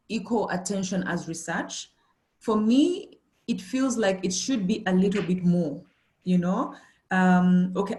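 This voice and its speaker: A woman's voice: medium pitch, darkish tone. A middle-aged woman giving a webinar panel talk, thoughtful and hesitant, with many fillers and restarts. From Uganda. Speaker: panelist, company managing director.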